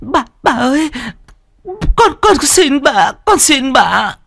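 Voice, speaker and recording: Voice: sits high, big pitch swings, slow, vocal fry, fairly bright tone, pitched above the performer's own voice, exaggerated voice. A narrator with a Northern Vietnamese accent voicing a terrified young servant girl who stammers and begs through tears. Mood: tearful begging. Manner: stammering, begging, tearful.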